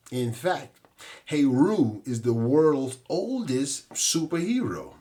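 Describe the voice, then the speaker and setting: Male podcast host, mid-range voice, scripted monologue, measured explanatory delivery. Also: medium pitch, darkish tone.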